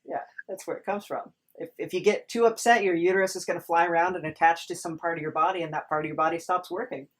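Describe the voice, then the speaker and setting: A woman's voice: fairly low pitch, steady tone, quick pace, hardly any pauses. A woman hosting a podcast in casual conversation, with a hesitant, wry way of talking. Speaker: podcast host. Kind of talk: casual interview chat.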